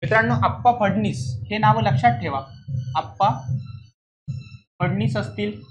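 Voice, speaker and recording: A man's voice: high voice, unhurried, poor audio. A man with a Maharashtrian accent explaining exam questions like a classroom coach, repeating key points emphatically and often checking that students follow. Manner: repetitive, emphatic, frequently checking understanding with questions.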